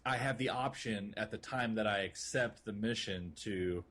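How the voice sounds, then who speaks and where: A man's voice: fairly low pitch, moderate pace, fairly bright tone. Rambling man chatting on a podcast. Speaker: podcast co-host. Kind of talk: casual chat about a video game.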